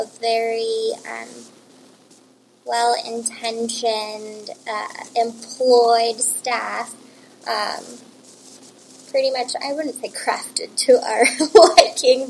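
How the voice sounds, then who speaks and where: A young woman whose first language is Romanian talks as an interview guest. Her voice sits a little high with a noticeable gravelly fry. She chats in a loose, friendly way, laughing easily and pausing on "um". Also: slight background noise, rough recording. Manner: relaxed and chatty, laughs easily, many fillers. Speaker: interview guest, coffee shop co-owner. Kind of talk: casual conversational interview.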